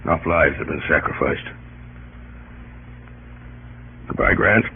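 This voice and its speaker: An actor playing a weary, humane, solemn president with a grave, gentle, deliberate manner in a 1930s radio drama. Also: male voice, extremely low pitch. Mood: firm, weary. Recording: scratchy, narrow-band 1930s radio recording with noise.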